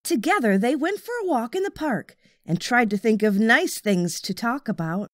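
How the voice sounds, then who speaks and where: A woman's voice: a little high, lively intonation, normal speed, dry recording, studio quality. A woman reading a children's audiobook as scripted storybook narration.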